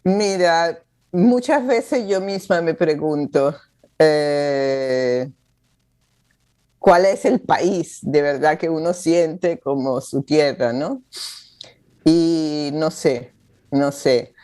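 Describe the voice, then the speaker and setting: A woman from Venezuela, a guest in an interview, talking slowly with many hesitations. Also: low voice, warm tone. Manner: slow, rambling, with frequent hesitations. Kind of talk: casual interview over a call. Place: New York.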